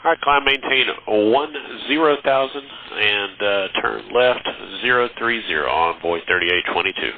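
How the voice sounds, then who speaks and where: A man's voice: on the low side, measured pace, gravelly, hardly any pauses, narrow, tinny audio. A man speaking as an airline pilot, giving casual readbacks over a radio. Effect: radio transmission. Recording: thin radio with faint noise.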